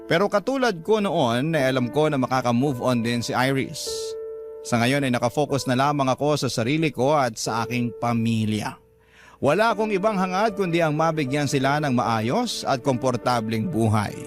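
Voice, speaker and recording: Casting: male actor as a heartfelt family man telling his story, mid-pitched, radio drama with prominent music. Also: expressive, moderate pace, lightly acted. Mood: wistful, resolved. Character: sincere family man narrating his own letter. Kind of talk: dramatic first-person radio narration. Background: clear music bed.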